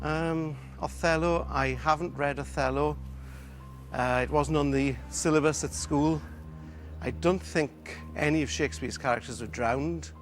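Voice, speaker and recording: A man's voice: fairly high pitch, measured pace, faint music behind. A man in his fifties from Wales, a quiz contestant answering and thinking aloud in a TV studio. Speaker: quiz contestant, school teacher.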